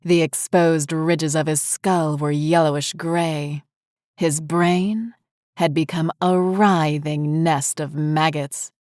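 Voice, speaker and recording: A woman with a notably low voice narrates a scripted horror story. The recording is very dry, with hardly any room sound. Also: fairly even intonation, slow, darkish tone, dry recording.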